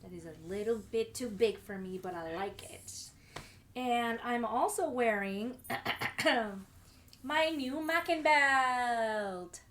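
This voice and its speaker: A woman with a slightly hoarse voice from a sore throat, co-hosting a casual chat podcast. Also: on the high side, slow pace, a little hiss or hum. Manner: chatty, drinking warm tea. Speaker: podcast co-host.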